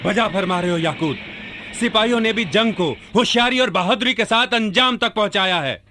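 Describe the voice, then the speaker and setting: A man's voice: high voice, normal speed, sharp tone, soft background music. A man acting a brave, declamatory army commander in a radio drama. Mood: satisfied.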